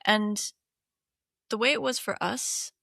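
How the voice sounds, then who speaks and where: Gravelly bright-voiced woman chatting. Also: medium pitch, slow, no echo, clean studio sound. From Hong Kong. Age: 30s. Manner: chatty, many fillers, laughs often. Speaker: podcast co-host. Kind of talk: casual chat.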